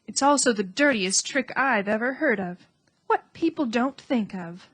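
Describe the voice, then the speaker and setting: A woman voicing a wise female spider with a sweet, musical voice and a brisk, composed manner. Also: fairly high pitch, animated, a bit bright, husky, heavily acted. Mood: calm.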